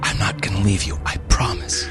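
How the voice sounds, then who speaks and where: A man's voice: on the low side, flat delivery, fairly quick, over music, close to an everyday voice. A man voicing an anxious doctor in a horror story, with a hesitant, placating manner. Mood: pleading. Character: anxious adult male doctor coaxing a child. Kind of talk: horror audio storybook character.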